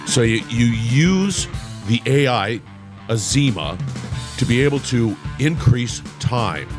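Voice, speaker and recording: A man with a raspy voice giving a punchy, promotional podcast outro. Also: medium pitch. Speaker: podcast host. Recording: music bed underneath.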